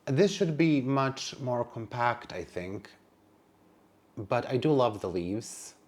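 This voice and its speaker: A man whose native language is Serbian talks through a casual vlog at a middling pitch. He chats away as he works, with a wry, sassy edge and plenty of dry asides. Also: takes it slowly, a little mellow. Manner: chatty and wry, sassy asides, calls plants "her" and "girl". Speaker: plant vlogger. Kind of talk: casual vlog narration while handling plants.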